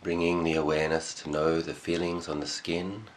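A man leading a guided meditation as a teacher, speaking calmly and in measured short phrases with long pauses. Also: extremely low pitch.

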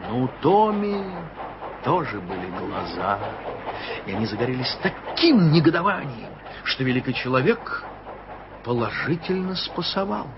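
A man narrating an old radio play in a clear storytelling manner. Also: medium pitch, sing-song, normal speed, background noise. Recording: dull old radio recording with music.

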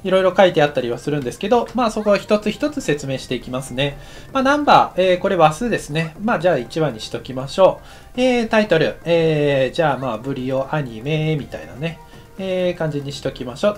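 Chatty man explaining with lively pitch. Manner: relaxed and chatty, many fillers, lively rising and falling delivery. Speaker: anime director teaching a course. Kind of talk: explanatory screen-share lecture.

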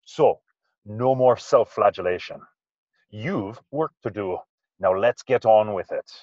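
A man's voice: on the low side, a little slow, darkish tone. A man playing a loyal, folksy sidekick in a period radio mystery, speaking colloquially, with emphatic encouragement. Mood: firm, reassuring. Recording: dull, echoey video call sound.

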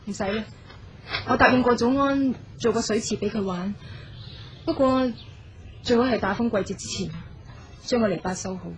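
Woman acting a guarded, slightly high-voiced proud woman. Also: normal speed, long pauses, background noise, close to an everyday voice. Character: guarded, proud woman hurt by her father. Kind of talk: Cantonese radio drama acting. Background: music.

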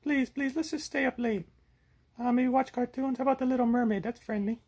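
A man voicing a whiny, excitable, childlike little monster in a comic puppet show, candy-loving and pleading. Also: very high voice, a little fast, slightly rough, voice pushed up, exaggerated voice.

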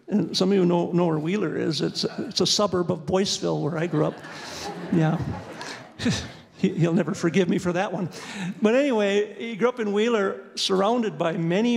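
A man's voice: high voice, gravelly. A man in his sixties joking with a concert audience from the piano, folksy and full of fillers. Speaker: singer and entertainer. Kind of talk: chatty concert patter between songs. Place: church sanctuary, indoors. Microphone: stand mic at the piano. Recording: long church reverb, piano behind.

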